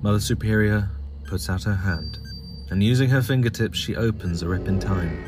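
Low-voiced man narrating over music. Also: moderate pace. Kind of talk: scripted audio drama narration. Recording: music behind the voice.

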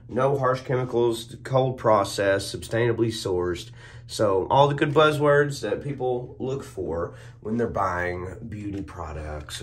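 Hoarse young guy reviewing soap at home, slow easygoing talk, phone cam at arm's length. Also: fairly low pitch. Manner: laid-back, chuckles at his own jokes. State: hoarse, losing his voice. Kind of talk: casual product review. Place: small indoor room at home. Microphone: phone or webcam at arm's length.

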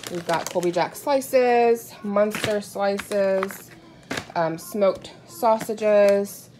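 A woman's voice: medium pitch, drawn-out words, a little mellow. An American woman in her thirties chatting casually and candidly to camera in a rambling, conversational way, recorded on a handheld phone at home. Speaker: home vlogger. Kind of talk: casual chat showing groceries to camera. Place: indoors in a home kitchen. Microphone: handheld phone at arm's length. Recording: clearly noisy home recording, crinkling packaging.

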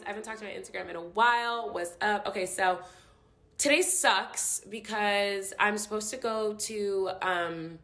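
A young woman in her twenties recording a casual phone update for her followers, bubbly and playful, sometimes going soft and confiding. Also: fairly low pitch. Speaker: social media personality. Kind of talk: casual selfie video update. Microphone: phone camera held at arm's length.

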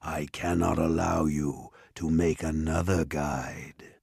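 Hulking ogre villain, painfully slow. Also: male voice, steady tone, creaky, darkish tone, slightly rough, no echo, studio quality, exaggerated voice. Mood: menacing. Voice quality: deep, booming, growling. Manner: slow, commanding, menacing. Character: hulking menacing male ogre villain, booming and boastful.

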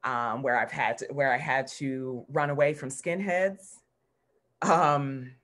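Low-voiced American woman, reflective speaker. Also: slow pace, fairly bright tone. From Newark, New Jersey, United States. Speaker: art history professor, guest speaker. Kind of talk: informal career talk with slides. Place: indoor room at home, on a video call. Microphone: video call computer microphone.

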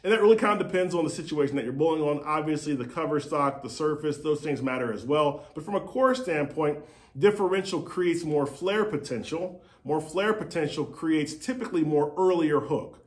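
A man in his forties talks through bowling equipment in a relaxed, conversational way, handling props as he goes. His voice sits a little high for a man. He is recorded by a fixed camera at desk distance in a bright showroom. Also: moderate pace. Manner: demonstrates with props, conversational, repeats points. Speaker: company CEO explaining bowling equipment. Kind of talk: casual explanatory demo to camera.

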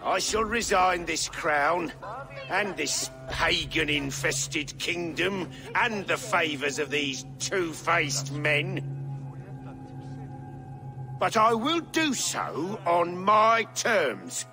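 An actor voicing a proud, deposed older king in a game cutscene, speaking in a gravelly, theatrical way. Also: on the high side, big pitch swings, very slow, over music, heavily acted. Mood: defiant. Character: deposed proud old male king, mocking then begging. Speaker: game character.

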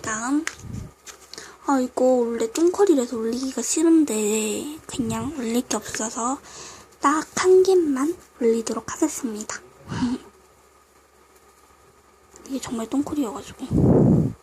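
A woman's voice: sits high, takes it slowly, pauses often, mellow. A woman with a cold casually narrating a craft tutorial, apologetic and muttering self-critical asides. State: voice affected by a cold. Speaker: craft video host. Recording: noisy home recording with clicks.

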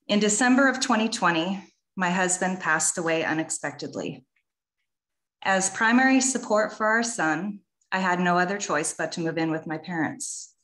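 Woman, from Washington State, public commenter, lowish voice, measured reading, echoey call. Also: a bit bright. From Washington State, USA. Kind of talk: prepared public comment read aloud. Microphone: video call.

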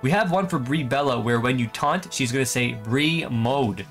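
A man's voice: mid-range voice, moderate pace. Young man presenting over prominent music. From Canada. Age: 20s. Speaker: gaming video creator and host. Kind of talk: vlog-style video game update presentation. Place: small bedroom, indoors. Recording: music behind the voice.

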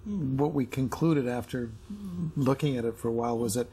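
A man's voice: mid-range voice, normal speed, mellow, poor audio. A middle-aged man talking casually as an interview guest, explaining things in conversation. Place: television-style studio set, indoors.

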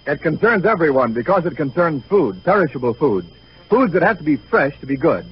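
A man announcing a scripted 1930s radio advertisement with emphatic, deliberate phrasing. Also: fairly high pitch, big pitch swings, slightly rough. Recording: muffled 1938 radio broadcast.

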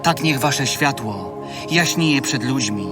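An actor playing a calm, solemn teacher preaching to his disciples in a gospel audio drama. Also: medium pitch, slow pace, distant mic, music in the background. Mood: solemn, teaching.

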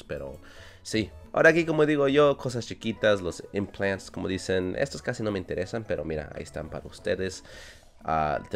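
A young man with a low, deep voice chats casually through his Spanish, which carries an English accent. He restarts his words and laughs often, as a gaming YouTuber recorded at a desk mic. Quiet music plays faintly underneath. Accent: English-speaking learner of Spanish. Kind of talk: casual game commentary. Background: soft background music.

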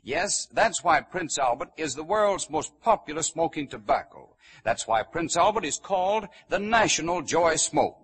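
A man's voice: on the high side, animated, normal speed, hardly any pauses, fairly bright tone. A man reading a scripted commercial as a polished, persuasive announcer on an old 1930s radio broadcast.

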